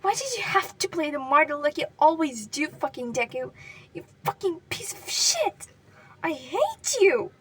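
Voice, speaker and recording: A woman's voice: a little high, moderate pace, far from the mic. A woman voicing a cursing, grief-wild young man. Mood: furious yelling. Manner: yelling, cursing, voice breaking. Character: furious, grieving hot-tempered young man. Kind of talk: dramatic fan fiction reading.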